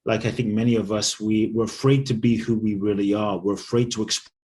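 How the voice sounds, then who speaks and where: Earnest low-voiced man telling his story. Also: fairly even intonation, darkish tone. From born in Ghana, raised in London. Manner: earnest, measured, reflective. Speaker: guest, spiritual speaker and author. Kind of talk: reflective storytelling in an interview.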